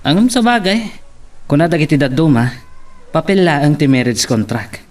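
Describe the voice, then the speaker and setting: A man's voice: fairly high pitch, animated, moderate pace, a little mellow, close to an everyday voice. Man acting frank friend, away from the mic. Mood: sympathetic, frank. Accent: Ilocano. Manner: questioning, advising. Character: sympathetic, frank male friend and confidant. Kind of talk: Ilocano radio-style drama acting. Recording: distant from the microphone.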